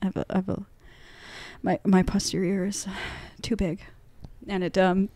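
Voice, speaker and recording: A woman's voice: medium pitch, little pitch movement, moderate pace, mellow, dry recording. A woman in her twenties from the United States, a podcast guest chatting in a playful, giggly, self-mocking way. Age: 20s. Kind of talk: casual chat.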